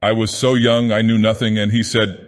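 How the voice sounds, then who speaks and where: A man's voice: on the low side, flat delivery, normal speed, voice pushed up, natural voice. A man voicing a distraught young woman, pleading in a strained, trembling voice. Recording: long reverb.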